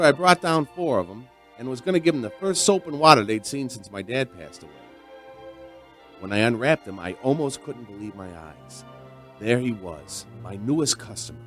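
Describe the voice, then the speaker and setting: A man's voice: mid-range voice, natural voice. A man playing a chatty veteran barman in a radio drama, telling an uneasy mystery in a folksy, rambling way. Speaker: narrator and lead character. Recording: music behind the voice.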